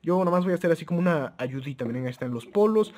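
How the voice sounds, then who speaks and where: A man's voice: on the high side, animated, rapid-fire, a little mellow. A man giving a casual, informal product review outdoors, hesitant and often repeating or correcting himself as he presents. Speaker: presenter of a gadget review video.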